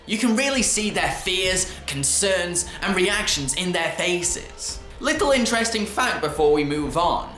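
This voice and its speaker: A man narrating a scripted video film review in an enthusiastic, expressive manner. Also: sits high, a little slow, few pauses, soft background music. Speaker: film reviewer and show host. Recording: roomy, echoey voice track.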